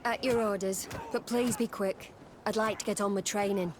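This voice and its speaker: A woman's voice: medium pitch, fairly even intonation, normal speed, lightly acted. An actress playing a disciplined female military instructor in a video game, formal and respectful. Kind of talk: video game cutscene acting. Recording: outdoor ambient noise throughout.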